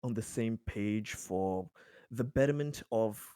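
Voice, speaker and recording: A man voicing an earnest, long-winded, pragmatic colony official in an improvised tabletop game, speaking in halting, emphatic bursts. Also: fairly low pitch, dark tone, clear, resonant tone, no echo, clean studio sound. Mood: polite, earnest. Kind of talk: improvised tabletop roleplay dialogue.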